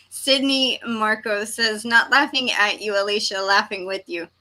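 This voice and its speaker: A woman's voice: medium pitch, measured pace, sharp tone. A woman from Texas, hosting a livestream and casually reading comments aloud. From Texas, United States. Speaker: livestream host.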